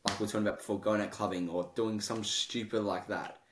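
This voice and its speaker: A man's voice: fairly low pitch, fairly even intonation, fast talker, noisy background. A young man hosting a podcast, chatting casually with lots of swearing and "bro". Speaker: podcast host. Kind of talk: casual chat.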